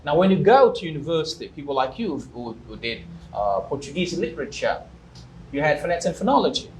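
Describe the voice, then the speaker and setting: A male interviewer who probes and presses, asking the same question again until he gets an answer. His voice is a little high for a man. Faint background noise sits under the talk. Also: sing-song, fast talker, low-quality recording. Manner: probing, presses with repeated questions. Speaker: interviewer, English teacher.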